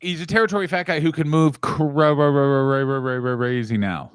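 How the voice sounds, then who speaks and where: A man co-hosts a podcast in loose, jokey banter, often talking over his partner with playful mock outrage. His voice sits a little high, and the recording is close and dry. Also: fairly quick, clear, resonant tone, no room sound. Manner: joking, interrupting, playful. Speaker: podcast co-host. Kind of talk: casual comedic chat.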